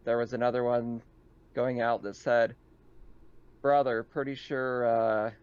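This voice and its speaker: A man narrating a tabletop game as game master, feeling out his phrasing haltingly as he improvises. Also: medium pitch, level tone, a little mellow, smooth, dry recording, highs cut off.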